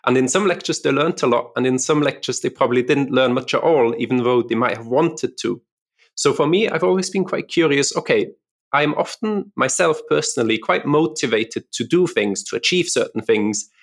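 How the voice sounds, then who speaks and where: A man from Austria talks through his career as a podcast guest, relaxed and chatty, with casual fillers. His voice is gravelly with some fry and sits in a middle pitch. He is easy-going and conversational. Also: moderate pace. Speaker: guest lecturer. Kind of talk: podcast interview.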